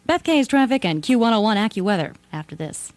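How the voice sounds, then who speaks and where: Woman, American accent, news anchor, scripted delivery, mid-range pitch, close dry radio sound. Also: very animated, a little fast, darkish tone, no room sound, soft background music. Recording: 1980 radio broadcast aircheck.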